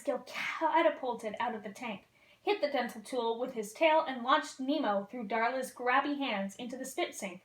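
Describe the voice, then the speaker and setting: A woman reading a children's picture book aloud as a costumed storyteller, in a lively, expressive way. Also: high-pitched. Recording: roomy echo.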